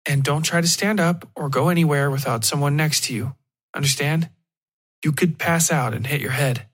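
Man reading as a brisk, caring woman doctor. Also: on the high side, a bit bright, pitched above the performer's own voice. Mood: firm concern.

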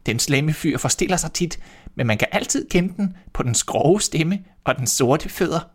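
A man voicing a caring old mother goat in a read-aloud fairy tale, warning her kids in a soft, high voice. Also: lively intonation.

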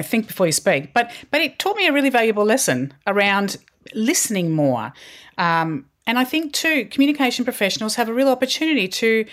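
Podcast guest: middle-aged woman, communications leader, earnest, self-correcting delivery. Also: mid-range voice. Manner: earnest, with frequent restarts and fillers. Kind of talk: podcast interview, candid storytelling.

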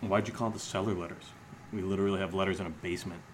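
A man acts out a sardonic guy rattled by fear in a horror audio drama. His voice sits low, and he talks hesitantly, hiding nerves behind sarcasm. A faint noise sits behind him. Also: steady tone, rapid-fire, lightly acted. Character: sardonic, shaken man named Steve, joking through fear.

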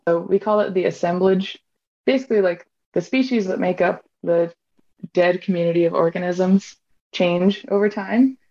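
A woman's voice: lowish voice, moderate pace, darkish tone. A woman in her twenties from Hawaii, a PhD student talking casually about her research, full of "like" fillers. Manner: chatty, many "like" fillers. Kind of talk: casual interview talk. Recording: dull lo-fi sound.

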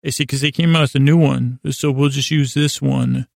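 An American man telling rambling, improvised stories as a podcast host, halting and often interrupting himself with deadpan whimsy. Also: medium pitch, no room sound, studio quality. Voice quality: gravelly, creaky. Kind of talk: rambling, improvised monologue storytelling.

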